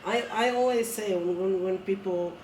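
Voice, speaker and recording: A man's voice: high-pitched, moderate pace, darkish tone, slight background noise. A man speaking earnestly and thoughtfully in a casual conversation, often restarting his sentences. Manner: earnest, reflective, with many restarts. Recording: roomy sound from a distant mic.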